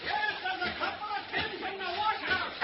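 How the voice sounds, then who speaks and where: A man's voice: very high-pitched, level tone, moderate pace, a loud crowd. An actor playing a gloomy Australian country man fighting a bushfire in a 1930s radio serial. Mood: resigned. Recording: muffled, very noisy 1930s radio recording.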